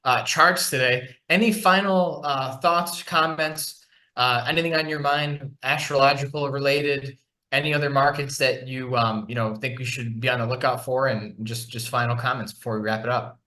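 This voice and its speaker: Bright-voiced male podcast host. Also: mid-range voice, steady tone, moderate pace. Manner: conversational, asks leading questions. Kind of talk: casual interview.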